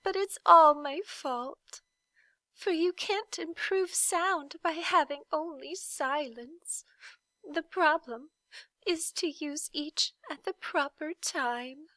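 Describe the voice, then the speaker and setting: A woman's voice: sits high, slow, sharp tone, no room sound, pitched above the performer's own voice, full character voice, low-quality recording. A woman voicing a sorrowful female sound keeper from a storybook, plaintive and sobbing with regret. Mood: tearful, regretful.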